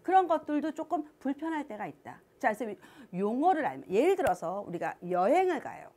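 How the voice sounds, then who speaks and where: Lively, chatty woman coach with a Seoul accent. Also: high-pitched, brisk. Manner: animated, chatty, rhetorical questions to listeners. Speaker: education coach. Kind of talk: answering a parent's question in a talk.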